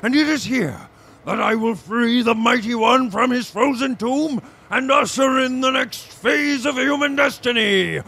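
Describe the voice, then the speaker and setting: An actor playing a pompous, deluded admiral cultist with a stentorian, theatrical way of speaking, in a comedy audio drama. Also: male voice, extremely high pitch, unhurried, creaky, sharp tone, husky, exaggerated voice. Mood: grandiose.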